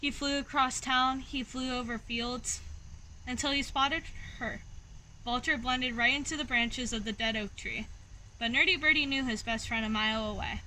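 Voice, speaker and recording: A woman narrates a picture book for young children in an expressive storytelling style. Her voice is high and bright. Clear noise sits behind the reading. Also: normal speed, low-quality recording. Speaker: read-aloud storyteller.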